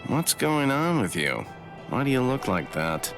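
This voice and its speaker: An actor playing a calm, knowing man in an anime game, explaining things in a measured way. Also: mid-range voice. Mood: calm, reassuring. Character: calm, knowing adult man, reassuring and matter-of-fact. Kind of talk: anime game cutscene acting. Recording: loud music behind.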